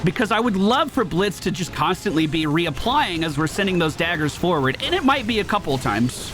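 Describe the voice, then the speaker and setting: A man with a fairly high, very lively voice hosts a gameplay video. He talks casually and excitably, cursing here and there, with music clearly playing behind him. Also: a little fast, poor audio. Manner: animated, exclamatory, swears casually. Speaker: gaming video host. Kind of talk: casual live game commentary.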